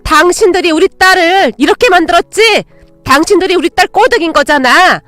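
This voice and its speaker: A woman voicing a harsh, greedy middle-aged mother in a dramatized story, shrill and accusing. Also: a little fast, a bit bright, no room sound, soft background music. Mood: shrieking, outraged.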